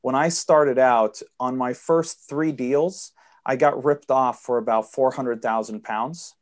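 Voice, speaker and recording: A man's voice: mid-range voice, fairly even intonation, slow pace. A man from Sweden with a Swedish-American accent, a guest on a podcast interview, explaining at length and fluently with frequent fillers. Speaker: guest investor.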